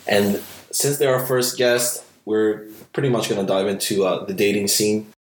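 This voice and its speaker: A man hosts a casual podcast chat in a low voice. The recording is roomy and echoey, with a faint layer of noise. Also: steady tone, normal speed. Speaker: podcast host.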